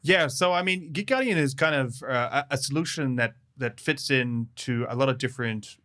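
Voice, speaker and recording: Bright-voiced New man. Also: mid-range voice, normal speed. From New Zealand. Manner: friendly, laughs easily, many fillers and restarts. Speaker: developer advocate guest. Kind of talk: casual podcast interview.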